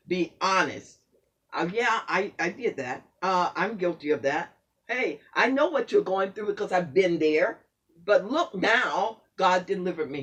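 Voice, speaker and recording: Older man, host, preacherly, affirming, high voice, distant mic. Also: fairly bright tone. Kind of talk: casual faith conversation.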